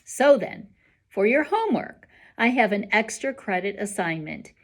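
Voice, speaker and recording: A woman's voice: medium pitch, sing-song, moderate pace. A woman voicing an encouraging, earnest male schoolteacher from a storybook, addressing a class with emphatic rhetorical questions. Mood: encouraging, instructive.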